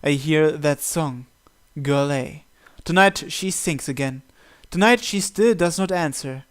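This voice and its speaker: Higher-pitched man reading a visual novel aloud. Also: unhurried, smooth. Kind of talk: dramatic read-aloud with asides. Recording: music behind the voice. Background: game music.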